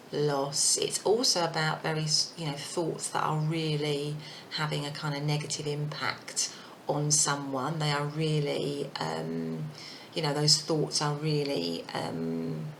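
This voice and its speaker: A woman giving a casual tarot reading, thinking aloud with many fillers, her voice croaky and husky. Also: sits low, fairly bright tone, slight background noise, poor audio. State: croaky voice, clearing throat. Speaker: tarot reader.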